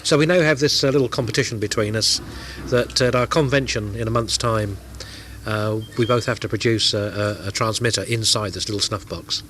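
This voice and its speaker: A man who sells electronics kits, answering interview questions haltingly with many fillers. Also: on the low side, fairly bright tone, slight background noise. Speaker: kit retailer.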